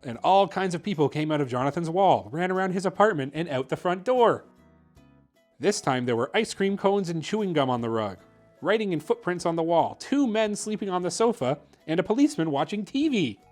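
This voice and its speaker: A man's voice: high voice, big pitch swings, brisk, a little mellow, faint music behind. A man hosting a story time, narrating a children's picture book expressively. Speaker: host reading children's picture books.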